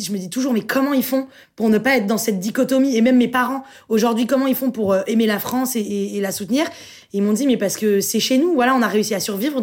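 A woman, a guest in a casual round-table chat, explaining her thoughts in a reflective way. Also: mid-range voice. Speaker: podcast guest.

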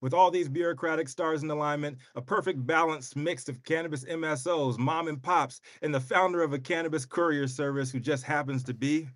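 A man's voice: a little high, steady tone, a little fast, few pauses, fairly bright tone, no room sound, studio quality. Male host with a wry news read. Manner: reads the story with wry asides and slang. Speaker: co-host, news segment presenter. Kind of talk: casual news commentary.

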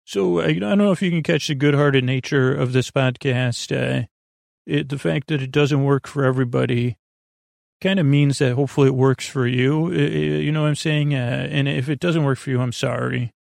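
A man hosting a podcast with a meandering bedtime monologue, halting and digressive, trailing off and restarting his sentences in a creaky voice. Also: medium pitch, fairly even intonation, darkish tone, no echo, clean studio sound. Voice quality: creaky, gravelly. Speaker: podcast host.

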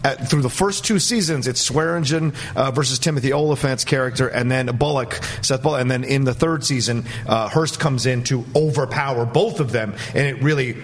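A man's voice: medium pitch, a little fast, few pauses, slightly rough. A man speaking as an enthusiastic, emphatic panelist giving his opinions in a movie news discussion.

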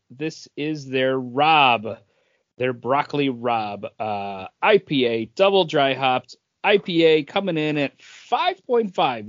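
A man's voice: medium pitch, no echo. A man co-hosting a podcast, chatting casually and informally. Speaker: podcast co-host. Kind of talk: casual chat. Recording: dry, dull lo-fi audio.

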